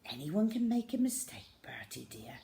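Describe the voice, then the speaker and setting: Woman playing a gentle, higher-voiced storybook mother. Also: big pitch swings, normal speed, creaky, a little hiss or hum, heavily acted. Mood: soothing. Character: gentle, anxious mother of a young boy.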